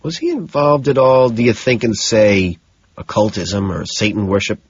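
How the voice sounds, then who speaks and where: A man's voice: on the low side, expressive, normal speed, husky, highs cut off. A man voicing a vain, evasive young Wall Street businessman in an audiobook. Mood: nervous, probing. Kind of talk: audiobook character dialogue.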